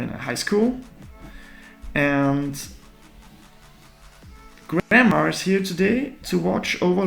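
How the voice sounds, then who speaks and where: Gravelly man, slow drawing chat. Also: fairly high pitch, echoey room. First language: German. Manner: halting, self-deprecating, thinking aloud while drawing. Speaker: artist. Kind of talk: live drawing commentary.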